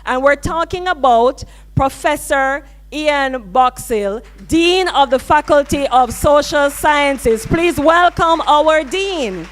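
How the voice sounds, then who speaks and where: A woman's voice: sits high, lively intonation, slow. A woman hosting a university orientation in a lecture hall, upbeat and coaxing the audience over a microphone. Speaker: orientation emcee.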